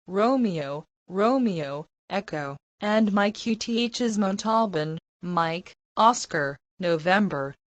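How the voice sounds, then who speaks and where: Very slow, deliberate female language model. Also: mid-range voice, no room sound. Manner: deliberate, careful enunciation. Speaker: English pronunciation model. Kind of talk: scripted language-drill reading.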